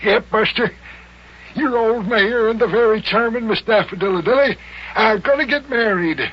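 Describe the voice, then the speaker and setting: An actor playing a jovial old small-town mayor in love, with folksy, flustered, flowery talk in an old-time radio comedy. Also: male voice, high voice, normal speed, breathy edge. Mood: proud. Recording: muffled old radio show recording.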